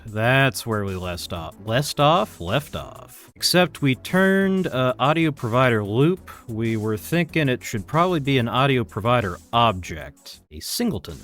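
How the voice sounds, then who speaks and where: A man's voice: medium pitch, very animated, a little slow, hardly any pauses, fairly bright tone. A man giving casual, informal live coding commentary as a streaming programmer, muttering to himself as he works. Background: chiptune-style keygen music.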